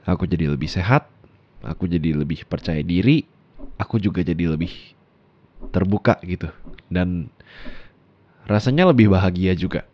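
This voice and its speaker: A man's voice: low-pitched, normal speed, long pauses, dull audio. A young man playing an affectionate, teasing boyfriend in an ASMR roleplay, laughing as he talks. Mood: playful.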